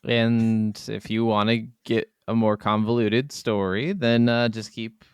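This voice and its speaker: A man's voice: lowish voice, fairly even intonation, a little slow, clear, resonant tone, no room sound, studio quality. A man co-hosting a gaming podcast in a casual, informal way, with the odd swear word. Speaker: podcast co-host.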